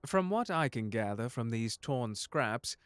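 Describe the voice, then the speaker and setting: Guy doing a smooth polite butler, dryly sardonic, super close dry sound, asmr roleplay type thing. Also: medium pitch, animated, measured pace, dry recording, lightly acted. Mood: curious, watchful. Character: suave, sardonic, unflappable demon butler, protective and courteous. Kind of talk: ASMR audio drama roleplay addressed to the listener.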